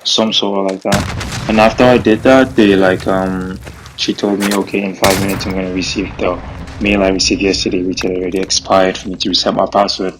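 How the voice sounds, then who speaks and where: A man's voice: sits low, level tone, brisk, background noise. A young man casually telling a story, rambling and filling it with "bro" and "like". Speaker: gaming vlogger.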